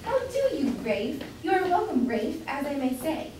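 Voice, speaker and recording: A woman's voice: high voice, sing-song, normal speed, a little mellow. A woman playing a chatty, opinionated citizen's wife in an amateur staged reading of an old English comedy. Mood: eager, bossy. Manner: chatty, bossy, archaic English. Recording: very echoey room, distant mic, faint noise.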